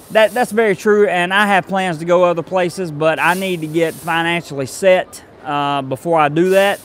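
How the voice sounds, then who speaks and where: A man talks casually to his viewers, pitched a little high. He rambles, pausing on fillers and restarting his sentences. Faint city traffic murmurs behind him. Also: fairly bright tone. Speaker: travel vlogger reading live chat. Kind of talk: casual live stream chat. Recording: faint street noise behind.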